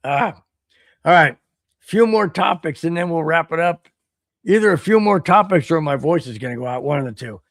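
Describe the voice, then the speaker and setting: Man, podcast host, animated rant, going hoarse, bright-ish pitch, dry mic. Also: normal speed, no room sound. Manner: animated, repeats himself, riled up. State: voice getting hoarse. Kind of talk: solo livestream rant reading chat.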